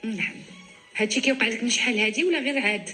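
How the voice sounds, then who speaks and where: A woman's voice: a little high, very fast, bright, forceful tone, soft background music. A woman with a Moroccan accent hosting a radio call-in show, reading out listeners' questions to a doctor. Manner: reads out listener questions, short backchannels. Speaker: radio host.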